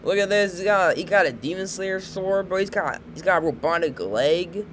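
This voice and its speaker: A man's voice: sits high, expressive, normal speed, fairly bright tone, slight background noise. A man giving casual, slangy reaction commentary in an informal way. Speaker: reaction video creator.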